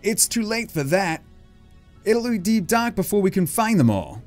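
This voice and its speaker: A performer voicing a gruff, weary old male professor who scolds and lectures but can be kindly. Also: medium pitch, big pitch swings, normal speed, darkish tone, soft background music, voice pushed up, close to an everyday voice. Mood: stern.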